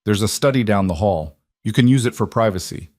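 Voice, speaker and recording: Man as a low-voiced, earnest mafia boss husband. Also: expressive, darkish tone, dry recording, studio quality, natural voice. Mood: resigned. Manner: measured, insistent.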